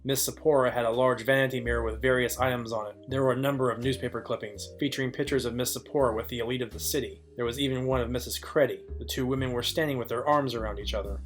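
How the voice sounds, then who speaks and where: A man's voice: mid-range voice, quick pace, few pauses, soft background music, lightly acted. A man playing a dry, wry, hardboiled private detective, narrating and questioning in film noir style. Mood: wry narration. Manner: deadpan, wry.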